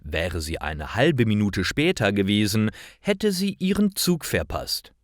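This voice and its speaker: A man with a fairly low voice narrates simple stories for language learners. He reads very slowly and deliberately, with lively swings in intonation. The delivery is scripted and careful throughout. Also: dry recording, studio quality.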